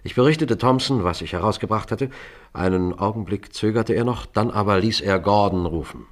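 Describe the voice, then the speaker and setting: A man playing a composed detective who narrates and questions in a German radio crime play, measured and pointed. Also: fairly low pitch, lightly acted. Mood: measured, grave. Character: composed, probing male detective narrating his case.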